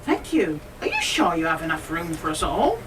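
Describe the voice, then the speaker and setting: A woman acting a sensible, practical lady traveller in an amateur radio play, with an emphatic, brisk manner. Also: deep voice, huge pitch swings, a bit bright, full character voice. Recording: distant, noisy community radio studio.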